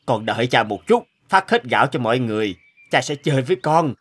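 An actor playing a gentle, generous, wealthy middle-aged father in a cartoon. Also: medium pitch, animated, fairly quick. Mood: fond, gentle. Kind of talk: cartoon dubbing. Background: music.

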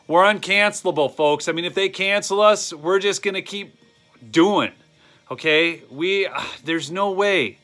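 A man's voice: high-pitched, unhurried, a bit bright, soft background music. A man in his thirties with a Minnesotan accent, hosting a casual live stream from a wooden shed, rambling with many ums and addressing viewers directly. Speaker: channel host. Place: small wooden shed or garage, indoors. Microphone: phone camera held close.

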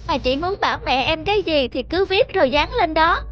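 A sulky, very high-pitched little boy. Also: expressive, fairly quick, lo-fi sound, a little hiss or hum. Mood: stubborn. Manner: sulky, stubborn. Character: sulky lonely young schoolboy. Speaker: cartoon character. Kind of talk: cartoon voice acting.

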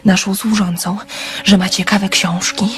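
A woman playing a shy, earnest, sensitive young woman in a radio play, telling her story in a soft, confiding, tender way. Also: medium pitch, little pitch movement, unhurried, natural voice. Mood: bashful. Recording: music under the voice. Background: instrumental music.

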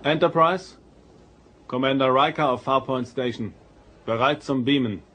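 An actor playing a confident, polite starship first officer in a German audio drama. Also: male voice, mid-range voice, unhurried, noisy background, lightly acted. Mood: polite, assured.

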